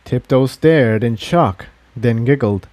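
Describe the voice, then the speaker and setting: A man's voice: mid-range voice, slow pace, darkish tone. A man reading fan fiction aloud as an amateur narrator, in scripted storytelling style.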